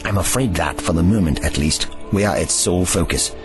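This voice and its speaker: A man's voice: deep voice, fairly quick, music in the background, close to an everyday voice. A man playing a grave, erudite ancient time traveller in an audio drama, with formal, slightly pompous phrasing.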